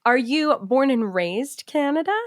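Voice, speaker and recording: A woman's voice: high voice, lively intonation, unhurried, dry recording. A woman hosting a podcast, asking questions in an upbeat, encouraging way. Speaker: podcast host. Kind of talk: casual interview chat.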